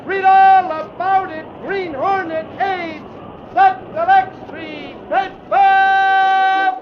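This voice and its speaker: A man's voice: very high-pitched, fairly even intonation, very slow, heavily acted. A male actor playing a newsboy hawking papers in a sing-song cry in a radio drama. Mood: urgent hawking. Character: shrill street newsboy hawking papers, loud and eager. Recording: muffled, noisy old radio street scene.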